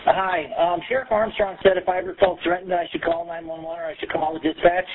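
A man's voice: high voice, steady tone, brisk. A man phoning a sheriff's dispatcher to make a report over a telephone line. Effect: phone line. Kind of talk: phone call report. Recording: thin phone line with background voices.

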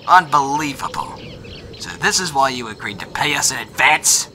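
A man playing a gruff, sardonic bounty hunter in a sci-fi audio drama. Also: medium pitch, lively intonation, unhurried, bright, forceful tone, a little hiss or hum. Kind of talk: fan audio drama acting.

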